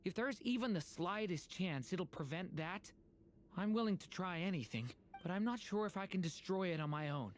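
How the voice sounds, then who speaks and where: An actress playing a gentle, vulnerable young dragon boy in a fantasy game, speaking with sincere earnestness. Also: lowish voice, expressive, normal speed, lightly acted. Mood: earnest, pleading. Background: game music.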